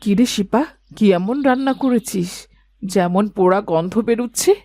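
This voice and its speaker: A woman voicing a sensible, gently scolding middle-aged village mother in an animated fairy tale. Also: medium pitch, moderate pace, soft background music, natural voice.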